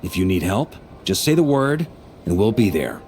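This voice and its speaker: Deep, wildly expressive knight commander. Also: male voice, normal speed, a little hiss or hum. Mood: serious, steady. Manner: relaxed, wry, jovial. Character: easygoing male knight grandmaster, hearty, dependable drinker. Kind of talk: English-dubbed fantasy game dialogue. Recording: music under the dialogue. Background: game music.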